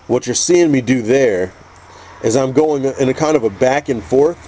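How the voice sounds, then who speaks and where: A man with a stuffy, congested voice from a cold, calmly explaining a painting technique step by step with frequent restarts. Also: mid-range voice, normal speed. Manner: calm, methodical, with frequent restarts. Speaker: custom figure painter teaching. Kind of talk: painting tutorial narration.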